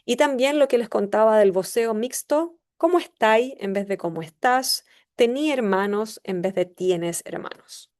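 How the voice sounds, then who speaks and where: A Chilean woman in her forties with a Chilean Spanish accent, giving a measured class presentation with small filler pauses. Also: fairly high pitch, a little mellow. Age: 40s. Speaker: student presenter.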